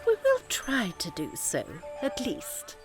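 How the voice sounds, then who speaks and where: Grasping peasant wife, imperious, high-toned, expressive, radio play with light music. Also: female voice, slow pace, heavily acted. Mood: grudging. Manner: imperious, complaining. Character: discontented, bossy, grasping fisherman's wife. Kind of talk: full-cast fairy tale audio drama acting.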